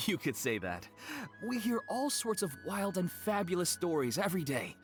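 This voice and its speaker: A courteous young event organizer speaks in a gently formal, lilting voice that rises and falls freely. Quiet game music sits in the background. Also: female voice, lowish voice, very animated, normal speed. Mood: polite. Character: polite young woman organizing a dream-sharing event. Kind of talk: video game voice acting.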